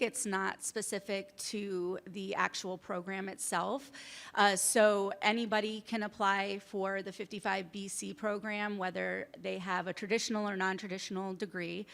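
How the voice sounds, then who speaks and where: Gravelly, flat-voiced woman presenter. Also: mid-range voice. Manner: halting, filler-heavy explanations. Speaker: civil service program presenter. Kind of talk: answering audience questions at a workshop.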